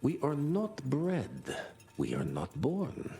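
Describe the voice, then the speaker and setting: A man playing a solemn, imperious vampire elder giving measured, grandiose oratory in a game cutscene. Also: mid-range voice, wildly swooping pitch, dark tone, heavily acted. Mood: grave, foreboding.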